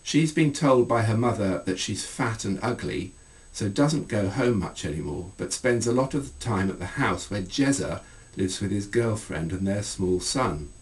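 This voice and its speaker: Low-voiced man reading, a little distant. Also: animated, normal speed. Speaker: author reading own book, counsellor. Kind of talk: scripted literary reading.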